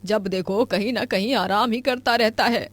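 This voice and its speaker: A woman puts on a scolding village woman from a cartoon tale. She is exasperated and sarcastic, nagging loudly at a mid female pitch. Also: normal speed, no echo, close to an everyday voice. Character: scolding, exasperated mother and wife of a lazy man.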